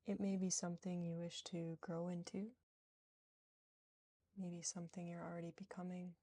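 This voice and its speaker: A woman leads a guided relaxation in a low-leaning, soothing voice with a slight fry. She moves gently and without hurry from phrase to phrase, leaving space between them. The sound is close and dry. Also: flat delivery, no echo. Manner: soothing, gentle, unhurried with long pauses. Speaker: yoga nidra guide.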